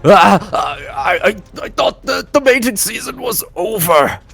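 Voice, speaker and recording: Man putting on a flustered, higher-pitched adventurer. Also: lively intonation, unhurried, a bit bright, soft background music, heavily acted. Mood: alarmed. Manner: stammering, exclaiming. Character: flustered, startled male crossbow-toting adventurer.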